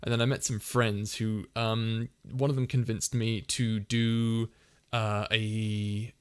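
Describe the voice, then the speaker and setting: A man hosting a casual livestream Q&A. His voice is soft and soothing, and he rambles through his answers with lots of fillers and restarts. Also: fairly low pitch, little pitch movement, takes it slowly, clean tone. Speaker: ASMR channel host answering viewer questions.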